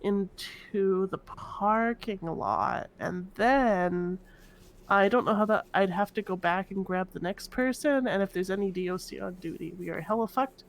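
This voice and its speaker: A woman's voice: medium pitch, measured pace, natural voice. A woman playing a scheming prison inmate in an online roleplay game, casually thinking her plans out aloud. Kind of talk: improvised in-character roleplay chat.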